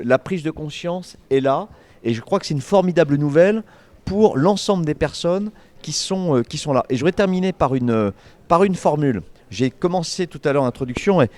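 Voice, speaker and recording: A man with a medium-pitched voice speaks spontaneously at a public debate as an entrepreneur. His delivery is emphatic and colloquial, breaking up as he builds each point. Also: darkish tone.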